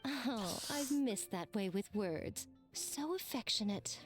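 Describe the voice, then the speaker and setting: A woman's voice: on the high side, animated, takes it slowly, soft background music. Sly, lilting shrine lady. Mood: teasing. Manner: playful, haughty, mock-affectionate. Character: sly, teasing, elegant shrine lady with airy superiority. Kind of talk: anime game voice acting.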